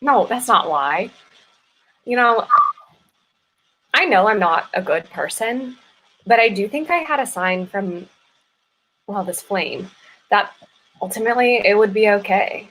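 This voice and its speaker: Lady acting a calm guarded woman in a play reading, wry and a bit hesitant, everyday delivery. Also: medium pitch, a little slow, pauses often, a little hiss or hum, poor audio. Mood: calm, sincere. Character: guarded, serene woman meeting an old friend. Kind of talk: live play reading, naturalistic dialogue.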